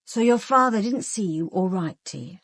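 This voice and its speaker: A woman's voice: medium pitch, animated, moderate pace, no room sound, studio quality. An actress playing a direct, businesslike police detective in a radio drama, probing as she questions. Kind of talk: British radio drama acting.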